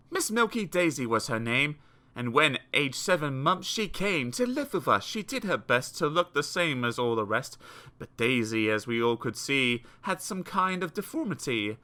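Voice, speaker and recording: A man narrating children's verse as an audiobook reader, theatrical, measured and grand. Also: on the high side, sing-song, pushed and bright.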